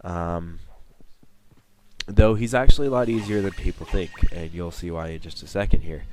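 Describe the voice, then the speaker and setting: A man's voice: low voice, moderate pace, darkish tone. A young man sick with a cold, sniffling as he gives rambling, self-mocking commentary over his own gameplay. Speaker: gaming channel host.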